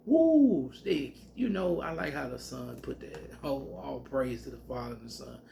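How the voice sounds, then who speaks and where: A man teaching scripture in a loose, colloquial country way, breaking into loud whoops. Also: medium pitch, normal speed, gravelly, slight background noise. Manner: animated, bursts into loud whoops; colloquial Southern speech. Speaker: preacher.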